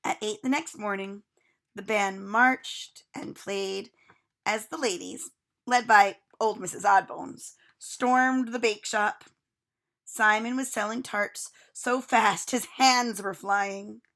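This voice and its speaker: A woman reading a children's storybook aloud with expressive narration. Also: mid-range voice, slow.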